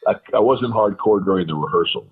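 A man with a deep voice telling rambling stories as an interview guest, full of fillers and quoted dialogue. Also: a little fast, husky. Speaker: guest, retired wrestler. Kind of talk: casual storytelling interview. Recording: tinny phone line.

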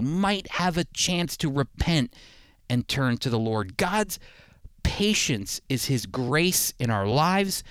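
Male radio preacher, slightly higher register, conversational but earnest delivery, stresses key phrases, very dry close-mic sound. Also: no echo. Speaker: radio Bible teacher and program host. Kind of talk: conversational radio sermon with scripture reading. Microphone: close studio radio mic.

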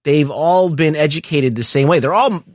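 A middle-aged man co-hosting a casual film discussion, explaining things in a halting, profane way. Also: a little high, no echo, clean studio sound. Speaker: podcast co-host.

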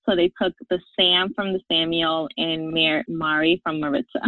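A woman from Puerto Rico, a life coach and podcast guest, answering questions in a relaxed, upbeat way and laughing often. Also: low voice, normal speed, dry recording. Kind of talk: casual interview answers. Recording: tinny phone-like line.

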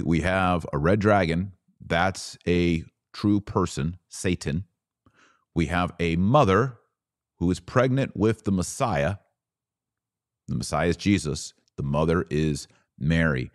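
A man hosting a religious podcast, explaining and reading scripture in an earnest, emphatic way with deliberate phrasing. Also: low-pitched, no room sound, clean studio sound. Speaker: podcast host and author.

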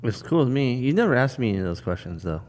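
A man's voice: medium pitch, big pitch swings, quick pace, darkish tone, in a large hall. A man co-hosting a casual podcast in a studio, joking and teasing in banter. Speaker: podcast co-host.

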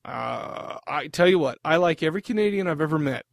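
Man, co-hosting, joking and teasing, slightly high voice, dry sound. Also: animated, fairly quick, creaky, dry recording. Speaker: podcast co-host. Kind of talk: casual chat.

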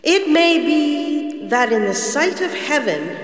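An actor voicing a stern, scolding spirit in a radio drama, grave and measured, with echoing reverb. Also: high voice, sing-song, faint music behind, full character voice. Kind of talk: family radio drama acting.

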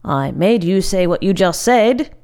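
A woman's voice: on the low side, expressive, a little slow, mellow. A woman voicing a taunting, self-delighted young boy with mocking, insistent bossiness in a story reading. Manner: mocking, sing-song insistence.

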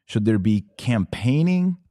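A man's voice: medium pitch, very animated, a little slow, warm tone, no echo, clean studio sound. A man with an American accent hosting a live commentary podcast, conversationally reading out viewer comments, posing questions and thinking aloud. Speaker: podcast host.

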